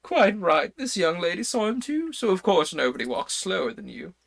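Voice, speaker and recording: A man voicing a fussy, excitable old king in a storybook read aloud, in a high, fluttery, exclaiming voice. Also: normal speed, vocal fry, full character voice.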